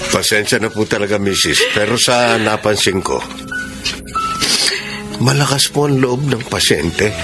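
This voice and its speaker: An actor with a Filipino accent playing a solemn, reassuring doctor in a radio drama, measured in manner. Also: male voice, on the low side, natural voice. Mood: grave, reassuring. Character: grave, reassuring male hospital doctor. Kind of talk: Tagalog radio drama acting. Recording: melodramatic music behind.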